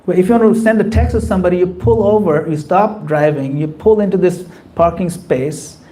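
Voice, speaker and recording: A man's voice: sits high, fairly quick, mellow, low-quality recording. A man giving a conversational temple class, acting out quoted voices as he explains. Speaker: spiritual teacher. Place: temple hall.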